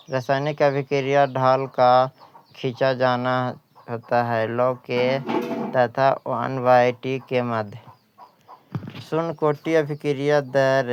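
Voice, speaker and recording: A man with an Indian accent teaching an exam-prep lesson, reading out questions and answers haltingly and repeating phrases. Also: mid-range voice, clear, resonant tone.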